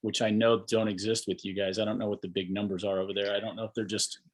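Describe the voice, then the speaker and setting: A middle-aged American man with a fairly low voice hosts a podcast. He chats casually and enthusiastically, rambling along in a colloquial way. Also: flat delivery, quick pace. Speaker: podcast host. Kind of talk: casual podcast interview chat.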